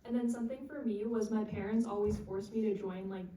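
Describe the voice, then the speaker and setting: A woman on a panel, speaking quickly to add her answer. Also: medium pitch, steady tone, warm tone, slight background noise. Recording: echoey room, distant mic.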